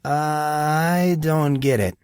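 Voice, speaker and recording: A man's voice: fairly high pitch, very slow, darkish tone, smooth, no echo, studio quality, voice pushed up. A man voicing a sarcastic, easily flustered teenage boy student in an audiobook story, casual and defensive. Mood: puzzled.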